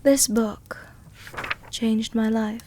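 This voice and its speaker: Slow, soothing vampire countess played by a woman. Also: on the high side, fairly even intonation, noisy background. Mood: intimate, confiding. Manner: slow, soothing, tender, teasing delivery. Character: seductive, protective noblewoman vampire countess, soft-dominant and comforting. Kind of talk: intimate ASMR roleplay, scripted character acting.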